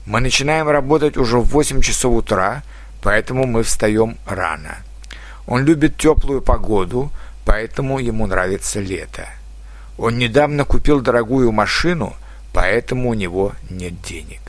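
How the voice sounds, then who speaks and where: A man teaching a grammar lesson to foreign learners, reading example sentences slowly and carefully and letting his pitch fall at the end of each example. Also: animated, no echo. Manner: slow, careful dictation-like delivery. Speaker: Russian language teacher.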